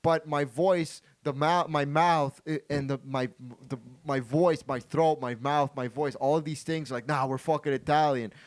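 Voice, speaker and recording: A man's voice: on the high side, steady tone, moderate pace, few pauses, no echo. Rambling young man with a dry close mic. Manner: rambling, restarts, repeats his point. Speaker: podcast host. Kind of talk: casual podcast banter.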